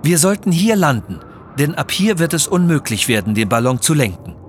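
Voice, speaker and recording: A man's voice: a little high, lively intonation, fairly quick, a little hiss or hum, pitched above the performer's own voice, lightly acted. A man voicing a cautious, honourable Tibetan Sherpa mountain guide who speaks formally and earnestly in an audio story.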